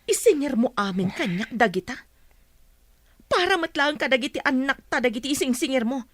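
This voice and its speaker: High-voiced, firm, caring mother played by an actress. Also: animated, a little fast, no room sound, clean studio sound. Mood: indignant, firm. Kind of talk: Ilocano radio drama acting.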